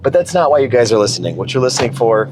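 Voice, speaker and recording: A man's voice: mid-range voice, very animated, racing, heavy background noise. Casual man explaining car tuning. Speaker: car tuner and video host.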